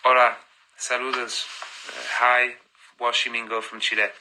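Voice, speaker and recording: A man's voice: mid-range voice, flat delivery, moderate pace, noisy background. A man in his thirties chatting on a phone live stream, reading fan comments aloud and answering them, switching between languages mid-answer.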